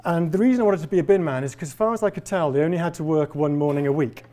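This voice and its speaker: Wry Welsh professor, mellow voice. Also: male voice, a little high, racing, a little hiss or hum. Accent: faint Welsh. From Wales. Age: 40s. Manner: conversational, wry and self-deprecating. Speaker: professor giving a lecture. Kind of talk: public lecture. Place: lecture hall with a live audience.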